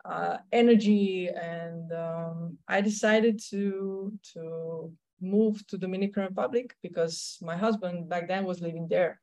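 Low-voiced woman with a Slovenian accent. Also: steady tone, a little slow, few pauses, a little mellow. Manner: rambling, many restarts and fillers. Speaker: tennis coach, guest. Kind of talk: conversational interview answers.

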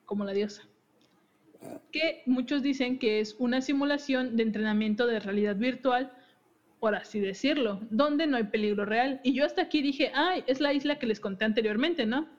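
A woman's voice: a little high, moderate pace. A young woman hosting a podcast, casually explaining game lore with frequent giggles and restarts. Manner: giggly, chatty, self-interrupting. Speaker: podcast host. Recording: dull lo-fi audio.

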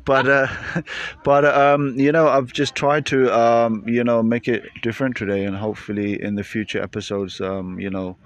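A man's voice: medium pitch, steady tone, normal speed, lo-fi sound. A British man hosting a podcast, chatting casually outdoors with plenty of hesitations and fillers. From Britain. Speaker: podcast host. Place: outdoors in a busy public spot.

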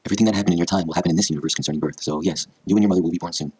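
A man voicing a wise, gentle queen mother who gives formal advice in an anime fan story. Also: low-pitched, very fast, slightly rough. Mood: gentle, solemn.

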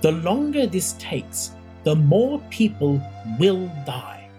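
Narrator playing a stern imperial academy head woman, audiobook, slow and scornful, wide-swinging pitch. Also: sing-song, mellow, faint music behind. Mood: grim. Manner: cutting, scornful, deliberate.

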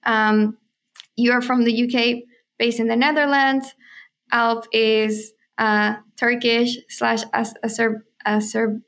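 A woman's voice: fairly high pitch, flat delivery, slow pace, a bit bright. A woman from Chile, a native Spanish speaker, hosting a podcast and thinking aloud in short, hesitant fragments. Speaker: podcast host, crypto journalist. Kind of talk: casual chat.

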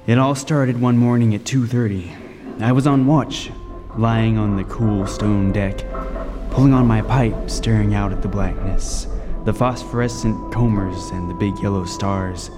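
A man playing a lighthouse keeper, narrating a radio drama in a brooding, lyrical, deliberate way with dramatic emphasis. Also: lowish voice, steady tone, natural voice. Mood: suspenseful. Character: world-weary, vivid storytelling lighthouse keeper, male. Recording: long reverb, music behind.

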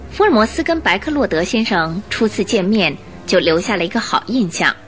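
A woman narrates a radio drama in expressive storyteller style. Her medium-pitched voice rises and falls vividly over faint music.

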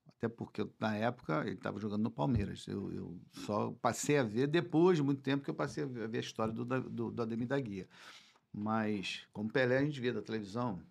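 A man's voice: medium pitch, a little fast, vocal fry, a little mellow. A Brazilian man of sixty-one with a Rio de Janeiro accent, reminiscing informally as a podcast guest and repeating words for emphasis. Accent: Brazilian Portuguese, Rio de Janeiro. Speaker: football club president, interview guest. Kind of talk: casual podcast conversation, reminiscing.

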